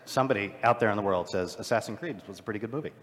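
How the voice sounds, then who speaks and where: A man's voice: fairly low pitch, racing, long reverb tail, noisy background. A man with a cold, co-hosting a live convention panel and cracking dry jokes. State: has a cold. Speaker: podcast co-host.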